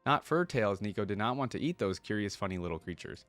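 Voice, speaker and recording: A man's voice: fairly low pitch, expressive, fast talker, fairly bright tone, no room sound, faint music behind. A man reading a story aloud and breaking in with casual commentary of his own, as a reaction host.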